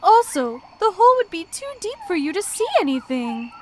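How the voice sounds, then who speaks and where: A woman's voice: extremely high pitch, big pitch swings, normal speed, a little mellow, a little hiss or hum, pitched above the performer's own voice. A woman voicing a young female ostrich, friendly, proud and earnest, explaining things emphatically in a children's cartoon story. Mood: confident, explaining.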